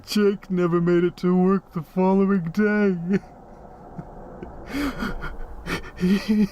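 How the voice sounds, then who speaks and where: A man playing a guilt-ridden corrupt policeman in an audio drama, speaking haltingly and stammering as he confesses. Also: high voice, flat delivery, dark tone, a little hiss or hum. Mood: anguished, breaking down. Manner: halting, stammering, repeating himself. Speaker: voice actor in a fan-made audio drama.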